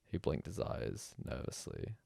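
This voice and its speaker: A man narrates a bedtime story in an extremely low voice full of creak. His pitch moves in a lively way from phrase to phrase, and the sound is dry and close. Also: measured pace, no room sound. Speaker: storyteller reading aloud.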